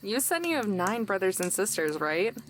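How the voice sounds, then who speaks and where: A woman's voice: mid-range voice, very animated, normal speed, slight background noise, natural voice. A woman playing a curious, blunt young woman on a road trip in visual novel game dialogue. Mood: curious, incredulous.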